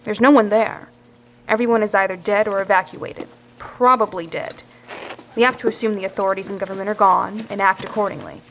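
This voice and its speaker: An actress playing a tough, pragmatic survivor in an audio drama, speaking bluntly and firmly in clipped phrases. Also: female voice, medium pitch, fairly even intonation, a little fast, fairly bright tone, slight background noise, natural voice, poor audio. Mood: grim, firm.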